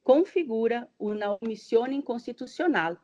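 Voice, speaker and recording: A woman's voice: on the high side, expressive, normal speed, a little mellow, muffled recording. A woman with a Brazilian Portuguese accent, a doctoral candidate, carefully reading a prepared academic presentation in a non-native language, with small hesitations.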